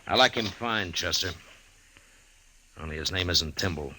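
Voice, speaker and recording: An actor playing a measured, courteous Old West lawman who narrates his own story in a 1950s radio western. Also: low-pitched, bright, forceful tone, a little hiss or hum, poor audio. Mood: grave, matter-of-fact. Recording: old 1950s radio recording, music behind.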